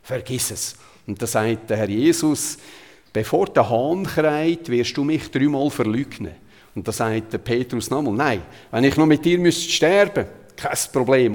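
A man's voice: medium pitch, lively intonation, moderate pace, a little mellow. A man with a Zurich Swiss German accent preaches a sermon in a conversational, emphatic way, retelling Bible passages. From Zurich, Switzerland. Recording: long hall reverb.

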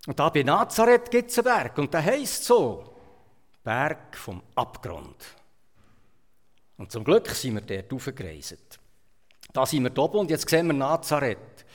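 A man with a Swiss German accent delivers a sermon as a storyteller, rising to loud emphasis and then dropping to quiet asides. His voice sits at an ordinary middle pitch. The sound carries a long reverb, as if from a church hall. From Switzerland. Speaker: preacher.

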